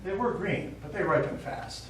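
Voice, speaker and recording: A man's voice: sits high, moderate pace, natural voice. Man acting a stubborn old father, heavily echoing. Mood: forgetful, stubborn. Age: older. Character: stubborn, forgetful elderly Italian-American widowed father. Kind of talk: live stage play acting. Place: small indoor theatre stage, recorded from afar. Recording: very echoey theatre, distant mic, faint noise.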